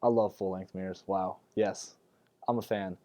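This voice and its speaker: A man's voice: deep voice, steady tone, normal speed, dark tone. A young man, a college athlete, chatting casually and playfully in an interview. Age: young adult. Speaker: college basketball player.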